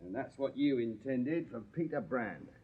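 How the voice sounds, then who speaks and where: An actor playing a shrewd Victorian police sergeant in a radio drama, English, clipped and plain-spoken with a drily insolent deference. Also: male voice, mid-range voice, animated, a little slow, husky. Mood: knowing, accusing. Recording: slightly dull radio recording.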